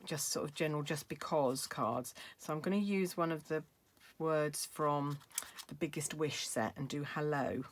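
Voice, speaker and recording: A middle-aged woman with a slightly croaky voice, chatting casually as she demonstrates card making at her craft table. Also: sits low, normal speed, few pauses, slight background noise. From United Kingdom. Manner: chatty, thinking aloud while working.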